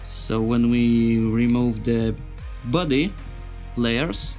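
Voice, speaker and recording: A man with a non-native English accent giving an informal tutorial as a sound designer, speaking in simple, halting phrases and searching for words. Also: mid-range voice, a little mellow, soft background music. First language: not English. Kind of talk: informal software tutorial narration.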